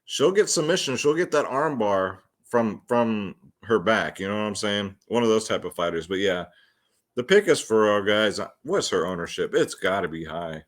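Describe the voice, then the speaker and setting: A man's voice: lowish voice, expressive, normal speed. A man hosting a fight picks show, talking casually and slangily to his viewers as guys while he gives predictions. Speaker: host of a fight picks and fantasy sports show. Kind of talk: casual solo fight predictions and betting commentary.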